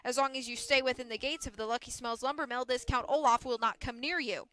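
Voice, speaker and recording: Woman putting on a loud, blustering, bossy male boss. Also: high-pitched, steady tone, fast, smooth. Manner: brusque, loud, emphatic. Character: blustering, bossy mill owner, a self-satisfied man.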